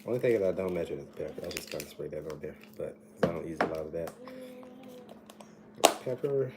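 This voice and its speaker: A man in his thirties, slightly hoarse, chatting casually as a nutrition coach while cooking in his home kitchen, speaking into a phone at arm's length. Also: low-pitched, fairly quick, over loud music. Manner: relaxed, chatty, advice-giving. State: slightly hoarse, recovering from illness. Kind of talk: casual live cooking chat. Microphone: phone camera at arm's length, earbuds.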